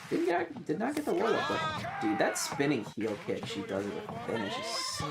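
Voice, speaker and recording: A man's voice: medium pitch, wildly swooping pitch, moderate pace, gravelly, loud music behind the voice. A man chatting casually on a livestream, rambling and swearing with enthusiasm as he reacts and gives his takes.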